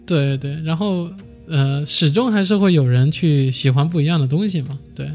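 Modest man with a higher-pitched voice. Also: moderate pace, faint music behind. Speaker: musician, interview guest. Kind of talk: casual interview chat.

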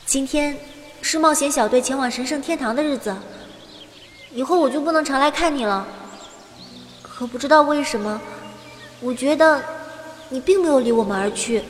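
A woman's voice: high-pitched, moderate pace, long pauses, slight background noise, natural voice. A woman playing a sincere, hopeful young adventurer heroine in an audio drama, speaking earnestly. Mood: wistful, tender. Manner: earnest, heartfelt. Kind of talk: audio drama acting with narrated monologue.

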